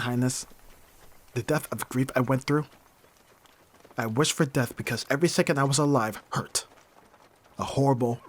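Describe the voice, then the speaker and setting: A man playing a bitter, hardened young thief in an ASMR roleplay, speaking with mockingly courteous, formal phrasing and pointed pauses. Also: medium pitch, a little hiss or hum, lightly acted. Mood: anguished, intense. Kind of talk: scripted ASMR roleplay acting.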